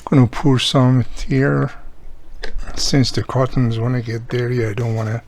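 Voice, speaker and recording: A man's voice: medium pitch, little pitch movement, measured pace, a little mellow, a little hiss or hum. A man giving a casual hands-on repair demonstration, explaining each step plainly as he works. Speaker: radio repairer and video host.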